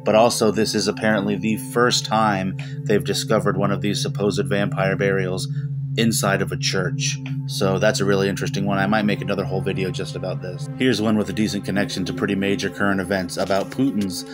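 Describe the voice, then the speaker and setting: Low, even-toned man over loud music. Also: a little fast. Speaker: paranormal video host. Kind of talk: casual explainer commentary to camera. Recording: music playing behind the voice. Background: loud background music.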